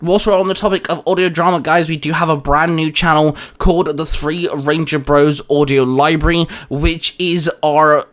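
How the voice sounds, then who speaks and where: A man's voice: on the high side, steady tone, moderate pace, few pauses. A man hosting a casual podcast, talking in a wordy, explanatory way. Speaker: host and audio drama writer. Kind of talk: casual podcast chat and channel plugs.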